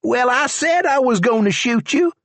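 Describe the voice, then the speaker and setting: A man voicing a nervous, stubborn young man with a pistol, who talks in a high, strained rural way. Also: animated, moderate pace, fairly bright tone, no room sound, exaggerated voice. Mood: agitated.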